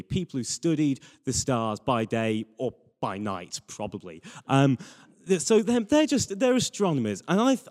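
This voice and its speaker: A man's voice: medium pitch, a little mellow. A man preaching a sermon with personal anecdotes, earnest and repeating phrases for emphasis. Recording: reverberant hall.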